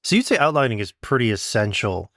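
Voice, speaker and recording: A man's voice: medium pitch, big pitch swings, fast talker, fairly bright tone, dry recording, clean studio sound. A man hosting a casual interview, chatting and putting questions to a guest. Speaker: interviewer, host.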